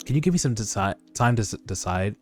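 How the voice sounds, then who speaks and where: Man reading as a low, composed young hunter. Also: lively intonation, fast, slightly rough. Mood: cool, composed. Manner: reserved, terse, self-assured. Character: young male rookie hunter, coolly confident negotiator. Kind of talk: webtoon read-aloud dub. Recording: background music under voice.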